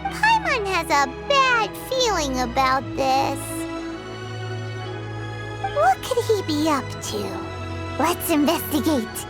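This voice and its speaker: A woman voicing a tiny, excitable, bossy childlike fairy companion in a video game, with a squeaky, emphatic delivery. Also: slow pace, long pauses, loud music behind the voice, heavily acted. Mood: suspicious. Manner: emphatic, speaks of herself in third person. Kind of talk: video game character acting.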